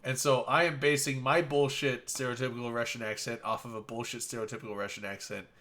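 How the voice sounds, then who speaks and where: Guy on a gaming podcast, bright forceful voice, rambles and cusses, trails off a lot. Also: medium pitch, steady tone, quick pace. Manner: rambling, swears casually, trails off. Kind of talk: casual gaming chat.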